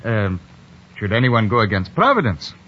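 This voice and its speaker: An actor playing a courteous, modest young newcomer, earnest and a little hesitant, in vintage radio drama style. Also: male voice, fairly low pitch, sing-song, moderate pace. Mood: warming, sincere. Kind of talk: 1940s radio acting. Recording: muffled vintage radio recording.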